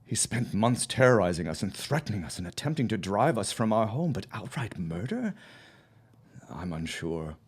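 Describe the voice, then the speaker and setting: A grieving father in an audio drama, acted by a man, speaks in a low voice that swings widely up and down. He is racked with guilt and torn by doubt, a man of the early twentieth century. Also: big pitch swings, normal speed, slightly rough, heavily acted. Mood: anguished, doubtful.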